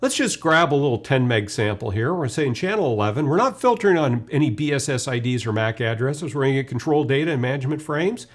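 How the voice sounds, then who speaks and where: A man in his fifties with a mid-pitched voice presents a technical demonstration. He speaks conversationally and informally, walking the listener through each step as a webinar presenter would. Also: big pitch swings, fast talker, few pauses. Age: 50s. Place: indoor video studio.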